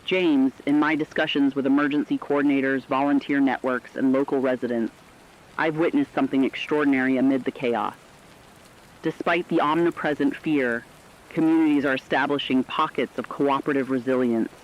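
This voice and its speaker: A man playing a thoughtful, earnest emergency radio correspondent in an apocalypse drama, with formal, measured delivery. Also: medium pitch, fairly even intonation, muffled recording, close to an everyday voice. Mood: earnest, solemn. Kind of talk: scripted dramatic broadcast narration.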